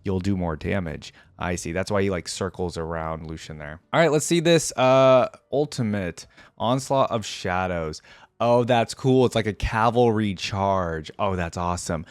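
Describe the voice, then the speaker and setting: A man's voice: sits low, moderate pace, clear, resonant tone, no echo. Casual man commentating, dry close sound. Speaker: gaming reaction video host.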